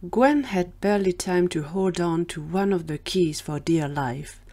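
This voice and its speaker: A woman's voice: lowish voice, unhurried, a little mellow. A woman narrating a scripted audio drama as its storyteller.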